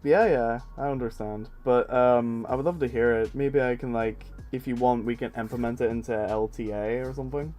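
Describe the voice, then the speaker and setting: A man's voice: mid-range voice, fairly quick, hardly any pauses, muffled recording, faint music behind. A man in his twenties chatting casually on a stream, rambling with many fillers and restarts. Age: 20s. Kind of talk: casual chat.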